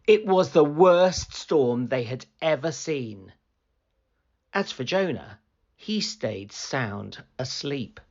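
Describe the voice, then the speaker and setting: Slow, expressive male storyteller. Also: mid-range voice, muffled recording. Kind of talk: children's picture book read-aloud.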